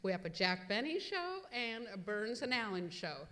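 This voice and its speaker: A woman's voice: mid-range voice, big pitch swings, moderate pace, fairly bright tone. A woman presenting a live show, giving a welcome announcement to the audience from the stage. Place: museum event room before an audience. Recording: reverberant hall sound.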